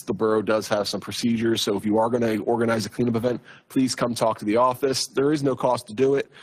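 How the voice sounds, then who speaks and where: A borough official with a low, loud voice talks through his report at a council meeting. He keeps it plain and conversational and fills gaps with ums and uhs. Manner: plain, conversational, with frequent fillers.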